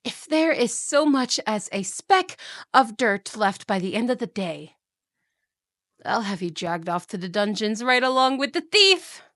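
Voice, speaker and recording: A woman's voice: fairly high pitch, very animated, measured pace, a bit bright, no room sound, studio quality. A woman playing a cruel, jealous evil queen and stepmother in a fantasy audio roleplay, with an imperious, menacing, theatrical delivery. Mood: commanding anger.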